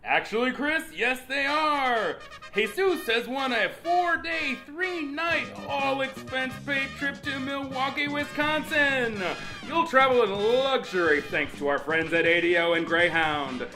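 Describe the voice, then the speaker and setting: A man's voice: very high-pitched, measured pace, over music. A man playing a flamboyant, pushy dating show announcer with exaggerated, dramatic delivery. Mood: grandly announcing. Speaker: game show host. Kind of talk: theatrical game show announcing.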